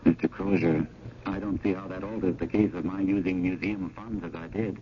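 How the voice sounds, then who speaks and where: Earnest, conscience-stricken curator, lowish voice, formal and emphatic. Muffled 1970s radio drama sound. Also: male voice, steady tone, a little fast. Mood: indignant. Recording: muffled old radio broadcast, clear hiss.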